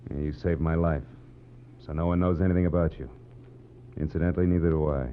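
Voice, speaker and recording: An American actor playing a cynical, tough private detective in a 1940s radio drama, with a clipped, world-weary, wisecracking delivery. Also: male voice, extremely low pitch, fairly even intonation, fast. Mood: guarded, wry. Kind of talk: 1940s radio detective drama acting with first-person narration. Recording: dull, noisy, humming 1940s radio recording.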